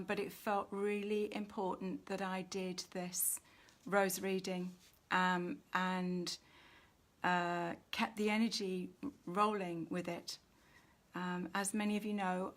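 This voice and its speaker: A woman giving an unscripted spiritual oracle reading in gentle, deliberate phrases with long pauses, sounding low in energy. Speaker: spiritual reader and host.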